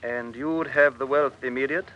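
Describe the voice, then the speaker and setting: An actor playing a roguish, insinuating drinking companion in an old radio period drama, on a dull lo-fi recording. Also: male voice, medium pitch, steady tone, normal speed, close to an everyday voice. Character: roguish male drinking companion egging on a plot. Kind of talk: 1950s radio drama acting.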